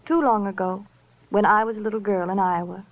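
A woman's voice: medium pitch, steady tone, fairly quick, natural voice. A woman playing a flirtatious, sardonic, worldly fiancée in a 1950s radio crime drama, languid and knowing. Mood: coy, sardonic. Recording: dull vintage radio recording.

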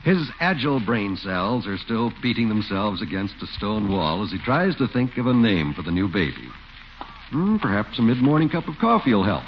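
Lowish, lively male radio announcer. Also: normal speed. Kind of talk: 1940s radio scripted narration. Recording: muffled 1940s radio recording, faint noise.

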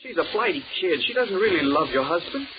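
A man's voice: high-pitched, very animated, a little fast. An actor playing a concerned, protective man in a 1940s radio drama. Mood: uneasy, reasoning. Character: concerned male bystander, protective of flighty young girl. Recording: muffled, hissy 1940s radio recording.

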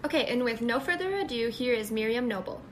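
A woman's voice: a little high, expressive, quick pace, very noisy. A young woman hosting a podcast, chatting in an upbeat, casual way with fillers. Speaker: podcast host. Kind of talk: casual chat.

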